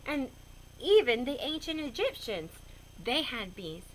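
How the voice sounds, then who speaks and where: A woman reading a children's picture book aloud in a goofy, expressive way with chatty asides. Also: sits high, a little slow, a little hiss or hum, rough recording. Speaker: storybook reader.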